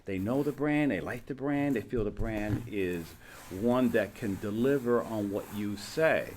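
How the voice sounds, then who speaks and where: A man's voice: on the low side, measured pace, darkish tone, a little hiss or hum. A man hosting an interview, coaching his guest on branding and explaining with everyday examples. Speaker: podcast host and branding coach. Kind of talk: casual interview chat.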